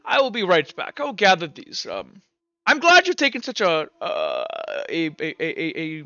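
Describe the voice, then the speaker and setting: Wary devout priest voiced by a man, bright crisp halting voice, slightly dull recording. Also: fairly high pitch, wildly swooping pitch, no room sound, heavily acted. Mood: preachy. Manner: halting, many filler sounds.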